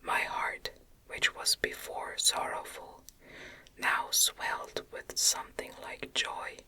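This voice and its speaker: A woman reading a gothic novel as ASMR in a gentle, breathy, unvoiced whisper, phrase by deliberate phrase. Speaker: ASMR reader.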